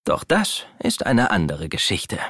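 A man narrating a children's story from a script, as an expressive storyteller. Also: sits low, a little slow, no echo.

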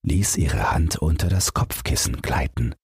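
A man with a husky, gravelly voice reading a bedtime fairy tale as an audiobook narrator in a measured storytelling way. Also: a bass voice, expressive, a little mellow, no echo, clean studio sound.

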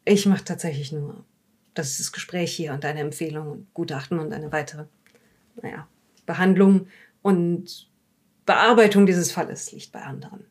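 A woman playing a composed, formal police psychological evaluator in an audio drama, speaking in measured, careful phrases and politely dismissive. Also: fairly low pitch, close to an everyday voice. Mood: polite, businesslike. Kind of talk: improvised audio drama dialogue.

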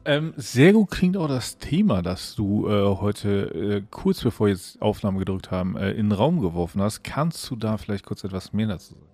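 A man's voice: on the low side, expressive, moderate pace, few pauses, warm tone, no room sound, faint music behind. A man co-hosting a podcast in casual conversation. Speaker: podcast co-host.

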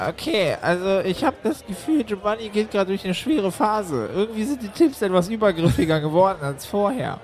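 A man's voice: sits high, expressive, fairly quick, few pauses. A man performing theatrically in an improvised comedy audio play as part of a troupe.

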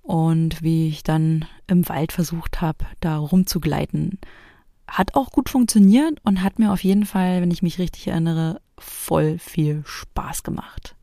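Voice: medium pitch, measured pace, mellow, no room sound, studio quality. A narrator talking personally and chattily to listeners, reminiscing and addressing them directly. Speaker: host and narrator of a private audio collage.

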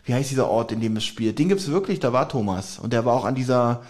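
A male podcast co-host in casual banter, his voice sitting in an ordinary middle pitch. He teases and jokes, laughing through his sentences and trailing off into fillers. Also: fast talker, a little mellow. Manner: teasing, joking, laughs often while talking. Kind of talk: casual chat.

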